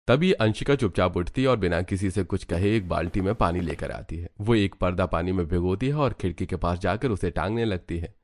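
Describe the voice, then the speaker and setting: A man's voice: sits low, brisk, few pauses. A man narrating a scripted cartoon story as its storyteller.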